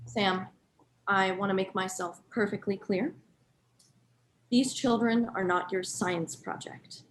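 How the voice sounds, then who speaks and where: A woman playing a brisk, stern daycare boss in her late thirties in a dramatic script reading. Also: medium pitch, steady tone, a little hiss or hum, close to an everyday voice, low-quality recording. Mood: stern, threatening.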